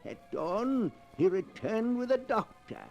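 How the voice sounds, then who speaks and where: An ominous 1940s radio storyteller with a high-set male voice. He narrates very slowly and solemnly, with dramatic weight. Faint music plays behind him on the old recording. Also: big pitch swings, a little mellow, full character voice. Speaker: announcer and narrator.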